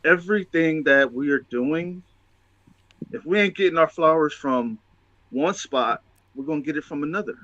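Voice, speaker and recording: A man's voice: fairly high pitch, moderate pace, bright, forceful tone, no room sound, muffled recording. A man talking casually and motivationally as a show host, emphatic and testifying, repeating phrases for stress.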